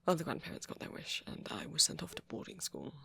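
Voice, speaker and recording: Man as a shy, breathy posh British vampire boy. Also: a little high, big pitch swings, quick pace, whispered, full character voice. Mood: uneasy, reticent. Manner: hesitant, stammering, soft-spoken, apologetic. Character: shy, apologetic young male vampire, politely awkward. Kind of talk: intimate ASMR roleplay audio.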